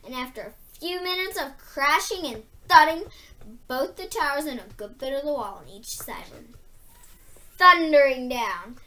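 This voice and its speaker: A woman reading a children's novel aloud expressively, with deliberate, dramatic emphasis. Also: sits high, bright, forceful tone. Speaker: storybook reader.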